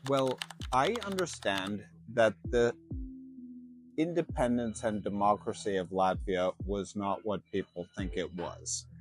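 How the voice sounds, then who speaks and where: A middle-aged American man from Chicago, a former banker, explaining his story earnestly in an interview. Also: fairly low pitch. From Chicago, USA. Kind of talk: interview and walking tour. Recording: music playing under the voice.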